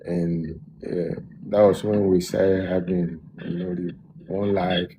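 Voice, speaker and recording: A man's voice: deep voice, slow pace, lo-fi sound, slight background noise. A man, a music producer, telling his story in a casual interview with a lot of restarts. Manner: relaxed, loose storytelling with many restarts.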